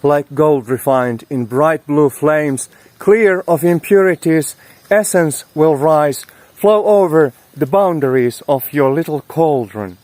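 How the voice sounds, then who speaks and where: A man hosting a scripted spiritual talk, speaking solemnly and oratorically in short, deliberate phrases. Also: a little high, darkish tone, dry recording. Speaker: host of a spiritual talk series.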